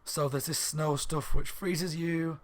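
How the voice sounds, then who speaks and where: A slightly hoarse man reviewing a book in a rambling, casual chat at home, with lots of ums and restarts. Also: fairly high pitch, fairly even intonation, slow pace. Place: room at home by a window on a main street.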